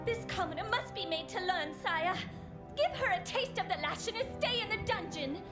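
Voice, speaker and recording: An actress playing a young highborn duchess in a video game, dramatic and anxious, with formal, archaic, theatrical delivery. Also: female voice, lively intonation, moderate pace, over loud music. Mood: imperious anger.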